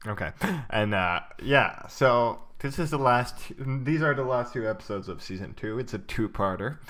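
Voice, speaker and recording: A man's voice: mid-range voice, normal speed, a bit bright. A young man co-hosting a podcast in casual chat, rambling with lots of ums and uhs and frequent laughter. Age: young adult. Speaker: podcast co-host.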